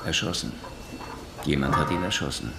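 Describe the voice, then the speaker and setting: A calm, very low-voiced police detective. He questions politely but persistently, in a dry radio-drama manner. Loud noise runs behind his voice. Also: normal speed. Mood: calm, probing. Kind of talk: radio crime drama acting.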